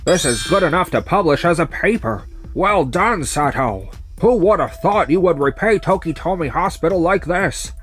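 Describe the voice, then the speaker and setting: A man's voice: a little high, expressive, normal speed, pauses often, fairly bright tone, faint music behind. Man acting a formal, defensive hospital official. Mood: surprised. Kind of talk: manga dub voice acting.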